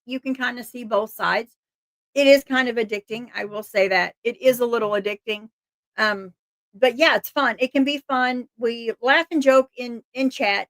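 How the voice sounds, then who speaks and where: A woman hosting a livestream, reading missing persons flyers aloud and chatting with her viewers, her voice a bit hoarse, laughing often and restarting words. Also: fairly high pitch, fairly bright tone, no echo.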